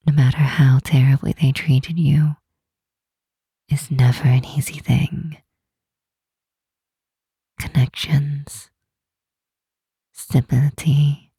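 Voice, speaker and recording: A woman plays a powerful demon queen who is gentle, earnest and protective. Her low voice is very breathy and half-whispered, with a dark, mellow tone. She speaks slowly and tenderly, close and intimate, in an ASMR roleplay. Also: no echo, heavily acted. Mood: protective, reassuring, then solemn, confiding. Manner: slow, tender, solemn, with deliberate pauses. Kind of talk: ASMR roleplay, soft intimate monologue to the listener.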